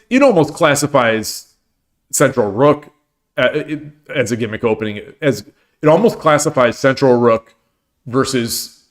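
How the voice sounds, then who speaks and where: Man, livestream host, casual rambling chat, lots of restarts, mid-pitched voice. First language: English. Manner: rambling, many restarts and fillers. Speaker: streamer and host.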